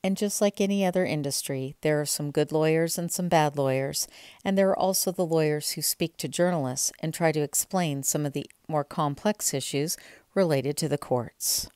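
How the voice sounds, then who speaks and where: Woman in her 30s, reporter commentary to camera, low mellow voice, dry close sound. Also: moderate pace, smooth, no room sound. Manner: earnest, pointed. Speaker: independent court reporter, host. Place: indoor hallway.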